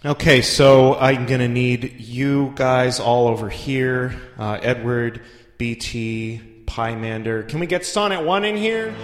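A man playing a bossy, matter-of-fact judge of a makeshift court, talking casually and haltingly. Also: mid-range voice, soft background music, natural voice. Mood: bossy, flustered. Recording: echoey hall.

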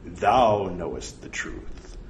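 An ominous, commanding sergeant, played by a man reading a story, intoning archaic pronouncements like a false god. He is pompous and slow, with heavy swings in pitch. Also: sing-song, slight background noise, exaggerated voice. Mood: instructing, grave. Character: scheming, commanding Redbird sergeant posing as ominous divine voice.

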